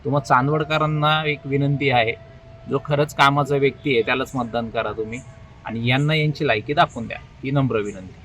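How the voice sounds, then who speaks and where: A man's voice: mid-range voice, steady tone, fairly quick, a bit bright, low-quality recording. A man with a Maharashtrian accent, speaking informally as an election candidate. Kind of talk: informal phone call and spoken public statement.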